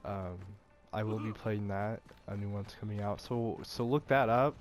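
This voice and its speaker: A man's voice: fairly low pitch, moderate pace, soft background music. A young man with a stuffy nose chatting casually about video games, rambling with many fillers and restarts. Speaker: gaming channel host. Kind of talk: casual gaming vlog chat.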